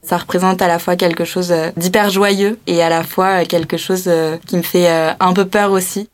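Measured young woman, lower voice. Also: level tone. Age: 20s. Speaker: interviewee. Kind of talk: reflective personal testimony.

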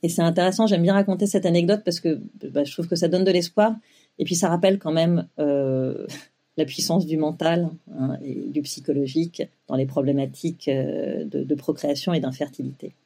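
A woman's voice: sits low, fairly even intonation, moderate pace, creaky, warm tone, breathy edge. A woman in her forties telling her own story as an interview guest, with many fillers and restarts. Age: 40s. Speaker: guest, web publisher.

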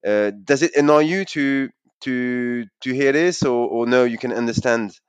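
A man's voice: medium pitch, unhurried, smooth, dry recording. A man hosting an interview, asking questions hesitantly with stumbling restarts. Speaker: interviewer, podcast host.

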